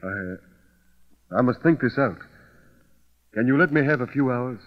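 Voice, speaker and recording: An actor playing a grave, honorable army colonel torn by anguish, solemn and earnest in old-time radio drama style. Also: male voice, medium pitch, lively intonation, measured pace, lightly acted. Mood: troubled, weary. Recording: dull vintage radio recording, faint music.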